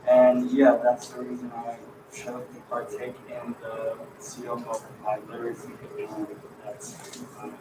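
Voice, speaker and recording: Teenage boy speaking haltingly in an echoey hall. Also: mid-range voice, monotone, music in the background. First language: Urdu. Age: teens. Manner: halting, with many fillers and restarts. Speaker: student speaker. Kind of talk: prepared remarks at a public meeting. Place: school board meeting room. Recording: echoey meeting room, distant mic, background noise.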